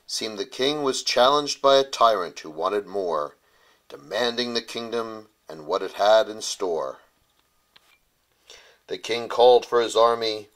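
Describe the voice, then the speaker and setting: Low-voiced man reading a bedtime story. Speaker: author reading his children's story. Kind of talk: rhyming bedtime storybook narration.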